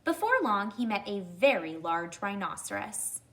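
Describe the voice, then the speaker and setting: A woman reading a children's story aloud, addressing young listeners deliberately and pausing to ask them questions. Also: mid-range voice, sing-song, a bit bright.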